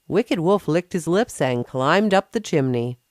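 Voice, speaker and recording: A woman's voice: deep voice, expressive, unhurried, a little mellow, dry recording, clean studio sound. A woman narrating a scripted children's bedtime story as a storyteller.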